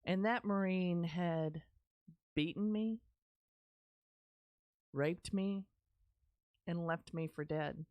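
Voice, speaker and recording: A middle-aged woman with a Texas accent tells her personal story on a podcast, earnest and candid as she speaks straight to her listeners. Her voice sits a little low. She is recorded close on a studio microphone in a small studio, with a dry, dead sound. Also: extremely slow, long pauses, no room sound, highs cut off. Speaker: podcast host. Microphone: studio mic on stand, close, with headphones.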